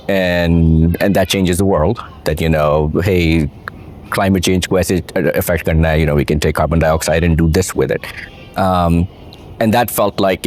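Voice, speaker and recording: A middle-aged man with a Pakistani accent and a very low voice talks through his story as an interview guest. He is reflective and unhurried, and he drops in the odd Urdu phrase. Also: darkish tone. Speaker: guest, venture capitalist. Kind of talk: relaxed interview conversation.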